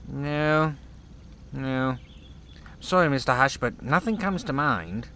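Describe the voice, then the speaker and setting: A man playing a courteous, reserved chief officer in a sci-fi audio drama, speaking in a polite, formal, measured way. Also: medium pitch, lively intonation, sharp tone, a little hiss or hum, heavily acted. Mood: apologetic.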